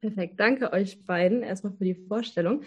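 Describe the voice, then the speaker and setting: A woman's voice: medium pitch, moderate pace, breathy edge, muffled recording. A twenty-year-old woman hosting a podcast, talking casually with frequent hesitations and filler sounds. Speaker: podcast host, association member.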